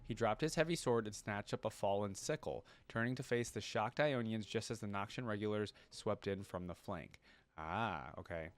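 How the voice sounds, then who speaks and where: Low-voiced man reacting and recapping casually. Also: moderate pace. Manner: thinks aloud, recapping in loose everyday speech. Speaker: reaction video host. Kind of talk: casual reaction commentary mixed with reading a story aloud.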